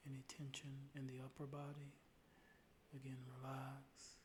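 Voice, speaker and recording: A man leading a guided meditation in a calm, soothing, unhurried way, with soft, breathy phrase endings. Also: medium pitch, background noise.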